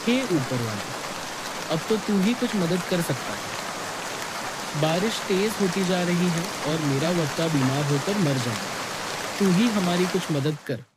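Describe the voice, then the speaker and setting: A man's voice: a little high, lively intonation, unhurried. A man playing an earnest, desperate, poor evicted father in a moral cartoon story. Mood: desperate pleading. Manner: pleading, earnest. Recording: hissy, very loud rain noise.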